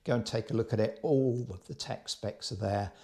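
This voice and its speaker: A man's voice: medium pitch, normal speed, mellow, slightly rough. A man giving a casual, explanatory product review, speaking informally and directly to viewers. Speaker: vlog host and product reviewer.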